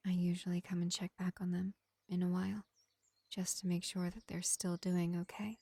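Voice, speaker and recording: A woman voicing a kindly vampire field medic in a soothing, unhurried, whispery roleplay addressed to the listener. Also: fairly low pitch, level tone, dark tone, slightly rough, dry recording. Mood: reassuring, regretful. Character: gentle, kindly vampire field medic tending a wounded elf.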